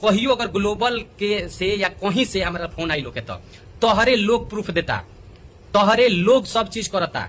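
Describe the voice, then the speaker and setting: A man's voice: high-pitched, lively intonation, normal speed, a bit bright, slight background noise. A man with a Bhojpuri accent making an indignant, pleading direct appeal, often repeating himself for emphasis. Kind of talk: agitated direct appeal in a video.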